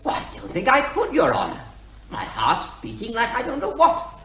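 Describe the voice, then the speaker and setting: A man's voice: very high voice, wildly swooping pitch, normal speed, sharp tone, echoey room, slight background noise, heavily acted. An actor playing a garrulous, plaintive everyman in the witness box, fussy and nervous in manner. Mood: flustered, plaintive. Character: flustered, garrulous, plaintive ordinary man as court witness. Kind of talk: comic courtroom drama.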